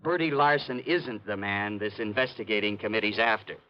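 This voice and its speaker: A man's voice: fairly low pitch, normal speed, a little hiss or hum, close to an everyday voice. A man playing a brash, dismissive American police sergeant in 1950s radio drama style, slangy and impatient. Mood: dismissive, explaining. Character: gruff, dismissive, know-it-all male police sergeant. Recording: dull vintage radio recording.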